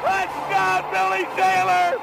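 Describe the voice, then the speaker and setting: Gravelly, very high-pitched man calling football. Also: monotone, slow, a loud crowd, very noisy. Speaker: radio play-by-play announcer. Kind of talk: excited football play-by-play commentary.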